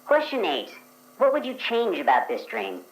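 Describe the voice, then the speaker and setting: A woman playing the reader of a dream questionnaire, asking each question in a crisp, procedural way. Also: lowish voice, wildly swooping pitch, moderate pace, poor audio. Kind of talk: actual play, reading scripted questions.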